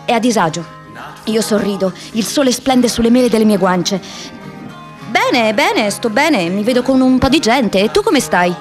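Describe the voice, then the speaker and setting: An actress playing a restless, wry young American woman activist in an expressive, introspective radio drama monologue. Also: fairly high pitch, normal speed, faint music behind, natural voice. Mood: nervous, forced cheer. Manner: expressive, introspective, shifts between playful and wistful.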